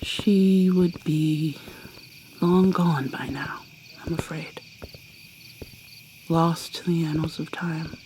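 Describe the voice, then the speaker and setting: A woman playing an ancient vampire mermaid in an ASMR roleplay, whispering softly and haltingly with a wistful manner. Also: sits low, fairly even intonation, a little hiss or hum, rough recording. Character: ancient female vampire mermaid, guarded and sorrowful.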